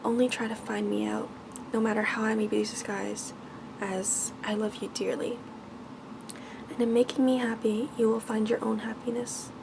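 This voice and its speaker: A woman voicing a tender, noble young prince in a gentle, solemn manner for a bedtime fairy tale. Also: on the high side, little pitch movement, normal speed, creaky, noisy background. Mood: tender, pleading.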